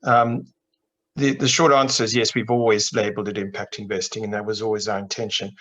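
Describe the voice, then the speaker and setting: A middle-aged man from Zimbabwe on a video call, explaining his work in a measured way with frequent restarts. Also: lowish voice, little pitch movement, a bit bright. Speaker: investment manager guest. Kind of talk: interview answers on a video call. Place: home office with plain wall. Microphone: webcam on video call.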